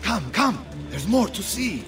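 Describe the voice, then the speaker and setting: An actor playing an effusive, proud Renaissance Venetian tour guide with an Italian accent, florid and showy in a video game. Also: male voice, sits high, sing-song, unhurried. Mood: showy pride. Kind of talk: theatrical video game acting. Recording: music behind the voice.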